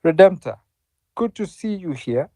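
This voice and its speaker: Earnest man hosting, slightly higher voice. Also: expressive, dark tone, dry recording, clean studio sound. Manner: earnest, halting, stumbling over words. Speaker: host. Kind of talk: online panel discussion.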